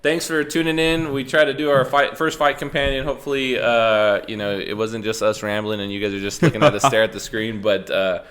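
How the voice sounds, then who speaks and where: A man co-hosting a podcast, chatting casually and reacting excitedly while watching a fight, with a slightly nasal voice. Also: medium pitch, fairly quick, few pauses. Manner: excitable, swings into loud reactions. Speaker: podcast co-host.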